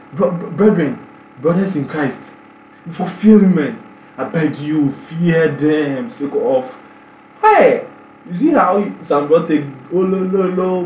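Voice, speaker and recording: Young male reaction vlogger, Nigerian Pidgin accent, excitable and stammering, echoey room with faint hum. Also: a little high. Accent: Nigerian Pidgin English. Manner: excitable, exclaiming, stammering repeats. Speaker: YouTube reaction host. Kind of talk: animated casual reaction commentary in Pidgin. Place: small bedroom indoors.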